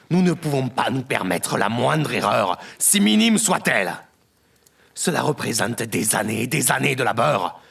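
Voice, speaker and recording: A man's voice: medium pitch, gravelly, a bit bright, husky, full character voice. A man playing a domineering, embittered head of a crime family in an audio drama, with a menacing, theatrical and formal delivery.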